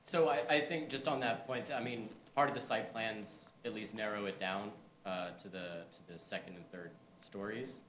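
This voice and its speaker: A man's voice: fairly low pitch, steady tone, normal speed, hardly any pauses, a bit bright, echoey room, slight background noise. A man staff member answering commissioners' questions hesitantly, with many fillers.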